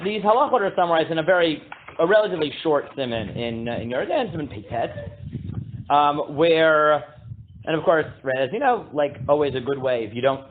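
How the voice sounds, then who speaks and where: A man leads a casual Torah class in a booming voice. He halts and restarts often, reading Hebrew text aloud before translating it. The sound is thin and tinny, like a phone line. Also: a little hiss or hum. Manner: halting, many fillers and restarts. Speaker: rabbi teaching a class.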